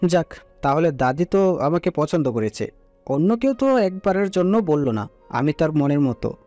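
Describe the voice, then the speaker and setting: A man's voice: on the high side, normal speed, dry recording, close to an everyday voice. A man voicing a playful young husband who jokes and bickers with his wife in an audio story. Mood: teasing. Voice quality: joking, lively banter. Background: soft music.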